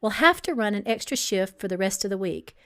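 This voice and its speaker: A woman's voice: mid-range voice, lively intonation, fairly bright tone. Woman reading as a firm, exasperated young boss lady. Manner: firm, dry, brisk. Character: tough, exasperated businesswoman boss in her late twenties.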